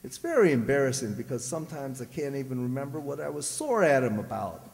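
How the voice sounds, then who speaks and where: An actor playing a grumpy, blustering, scheming husband, folksy and wisecracking, in an old-time radio comedy. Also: male voice, mid-range voice, very animated, brisk, vocal fry, a little mellow. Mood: grumbling. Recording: very reverberant hall stage recording.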